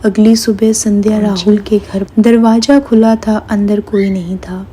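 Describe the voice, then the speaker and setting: A woman's voice: medium pitch, flat delivery, measured pace, warm tone, slightly rough. A woman reading a scripted horror story as its narrator, with faint noise behind.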